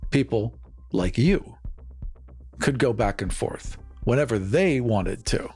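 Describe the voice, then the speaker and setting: A man playing a smooth-talking, assertive colony promoter in improvised roleplay, earnest and persuasive, pausing for emphasis. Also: mid-range voice, animated, a little mellow, faint music behind, full character voice. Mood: pointed.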